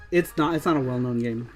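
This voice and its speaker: A woman hosting a casual gameplay stream, reading viewer chat aloud, laughing and swearing at the game. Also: a bass voice. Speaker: streamer and show host. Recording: game music playing behind the voice. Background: music.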